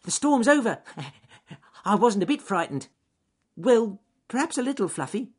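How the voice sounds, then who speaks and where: A man voicing a small, timid, dithering male rabbit in a storybook, with a high squeaky falsetto and a fretful manner. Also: sing-song, unhurried, a little mellow, slightly rough, heavily acted. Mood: relieved, chirpy.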